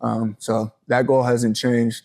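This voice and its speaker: A man's voice: mid-range voice, level tone, slow, gravelly, a little mellow. A man in his early twenties giving a casual, earnest and respectful sports interview as a college football player. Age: early 20s.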